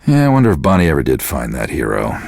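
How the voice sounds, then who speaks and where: An actor playing a bitter, self-pitying middle-aged American radio DJ in a video game, with deadpan, profane, casual patter. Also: male voice, a bass voice, fast, gravelly, no echo, clean studio sound, full character voice.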